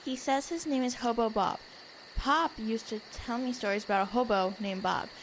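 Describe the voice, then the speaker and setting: Bold, outspoken girl adventurer, fairly high voice, young female actor for audio drama, light background noise. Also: moderate pace, lightly acted, low-quality recording. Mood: curious. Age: child or teen.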